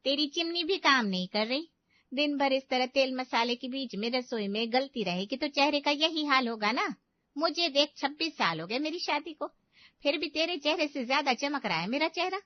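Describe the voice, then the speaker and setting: A woman's voice: sits high, expressive, normal speed, no room sound, highs cut off, pitched above the performer's own voice. A woman voicing a fussing, outspoken middle-aged aunt in a story, affectionate but indignant, with exclamations and motherly concern.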